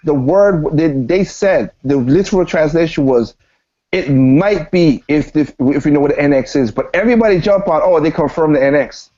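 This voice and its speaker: A man's voice: a little high, normal speed, mellow, muffled recording. A man chatting casually and emphatically on a gaming podcast, slangy and given to repeating himself for emphasis. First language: not standard American English. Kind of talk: casual opinionated podcast chat.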